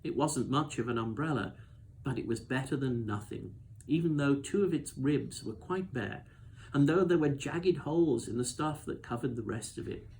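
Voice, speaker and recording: A man narrates a children's storybook in an expressive read-aloud style. His voice sits at a middle pitch, and there is some background noise on the recording. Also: moderate pace, poor audio.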